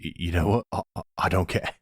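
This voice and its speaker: Male actor as friendly dim mob lackey, stammering, slangy, slightly husky, dry close-up sound. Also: low voice, fast talker, no echo. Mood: nervous, pleading. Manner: hesitant, stammering, slangy bro talk. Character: nervous, chatty, friendly dumb mafia henchman. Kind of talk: audio roleplay drama.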